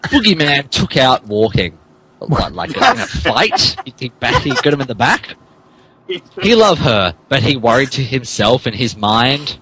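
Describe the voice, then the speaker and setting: Animated man narrating with mock drama. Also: medium pitch, a little slow, low-quality recording. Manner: theatrical, stumbling over garbled text. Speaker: narrator on a podcast. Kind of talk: mock-dramatic fan fiction reading with asides. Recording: podcast with faint background noise.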